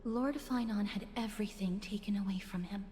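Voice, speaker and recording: A gentle, deferential attendant voiced by a woman in a video game. Her speech is measured and formal, with a mid-range pitch, a long reverb and faint noise. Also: fairly even intonation, close to an everyday voice. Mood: sombre, respectful.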